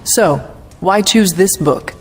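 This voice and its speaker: An actor playing a serious, resolute warrior hero in a video game, speaking in a formal, terse and precise way. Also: male voice, high-pitched, slow pace, a little hiss or hum. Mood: curious.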